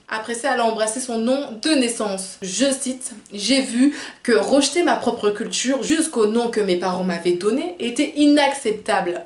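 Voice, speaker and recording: Echoey-voiced woman narrating a video. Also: medium pitch. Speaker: video host. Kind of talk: scripted narration with read-out quotes. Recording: roomy, echoing sound.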